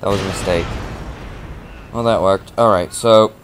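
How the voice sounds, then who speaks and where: Lowish-voiced lets-player losing his voice. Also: steady tone, moderate pace, background noise. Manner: rambling, talks through his moves, restarts often. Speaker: gameplay commentator. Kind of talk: casual let's play commentary.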